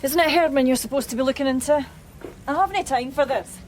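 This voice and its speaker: An actress playing a no-nonsense police detective in a radio drama, with a Scottish accent and a matter-of-fact manner. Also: female voice, high-pitched, fast talker, a little mellow, slight background noise, natural voice. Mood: curt.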